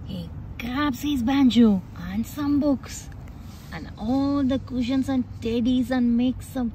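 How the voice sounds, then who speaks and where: A woman reading a children's book aloud in an expressive, sing-song way, as a presenter would to small children. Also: fairly high pitch, takes it slowly, a little hiss or hum. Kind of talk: expressive read-aloud storytelling.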